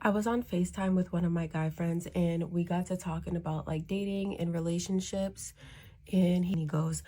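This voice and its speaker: A woman's voice: on the low side, creaky, mellow, breathy edge. A woman content creator giving casual storytime advice to camera in slangy, conversational speech, acting out bits of dialogue. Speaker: social media creator.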